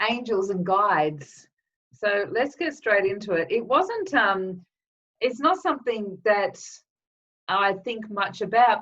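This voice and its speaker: A woman energy healer hosting a live webinar with a slightly croaky throat, chatting casually with lots of ums and greeting viewers by name. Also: medium pitch, a bit bright. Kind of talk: casual live-stream talk. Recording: distant, dull lo-fi webinar audio.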